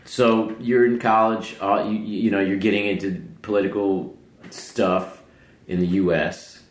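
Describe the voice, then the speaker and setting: A man hosting a casual conversation, asking relaxed, curious, halting questions. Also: lowish voice. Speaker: host. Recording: roomy, faint ambience.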